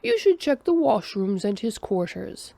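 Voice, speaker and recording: Woman playing a slow, stubborn male alien. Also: medium pitch, vocal fry. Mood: urgent. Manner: slow, deliberate and flatly dismissive.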